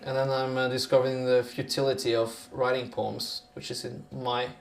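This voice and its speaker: A man's voice: mid-range voice, little pitch movement, moderate pace, creaky, echoey room, a little hiss or hum. A man with a Norwegian accent talking casually in an interview, musing in a rambling, sweary way. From Norway.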